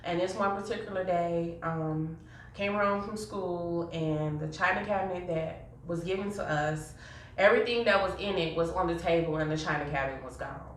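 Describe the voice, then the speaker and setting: Woman, fairly low voice, hesitant, echoey room, faint hiss. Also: normal speed. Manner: hesitant, many fillers. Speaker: interviewee. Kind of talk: personal testimony. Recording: echoey room, mic at a distance.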